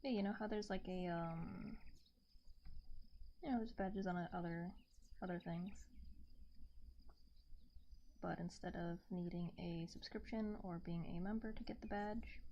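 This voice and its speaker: A woman talking softly and gently in an unhurried, absent-minded ASMR livestream chat, often near a whisper and trailing off, close to the microphone. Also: lowish voice, fairly even intonation, highs cut off, a little hiss or hum. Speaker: live streamer, artist. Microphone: close binaural ASMR microphone.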